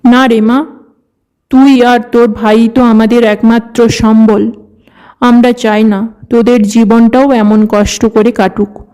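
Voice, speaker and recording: A woman's voice: fairly high pitch, little pitch movement, takes it slowly, warm tone, smooth. A woman voicing a caring, self-sacrificing farmer's wife and mother in a cartoon story. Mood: gently insistent.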